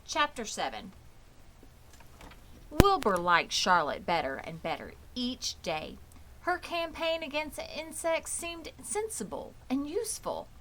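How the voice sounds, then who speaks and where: A woman reading a children's story aloud as scripted narration, with expressive storytelling delivery. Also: high voice, slow, slight background noise, low-quality recording. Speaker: audiobook reader.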